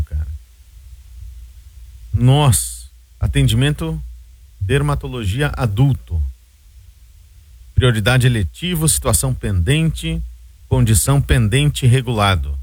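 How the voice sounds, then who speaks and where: A man's voice: mid-range voice, animated, slow, pauses often, darkish tone, slightly rough, dry recording. A man with a Brazilian accent co-hosting a radio show, reading out listener messages in a casual way. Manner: casual, reads messages aloud. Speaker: radio co-host.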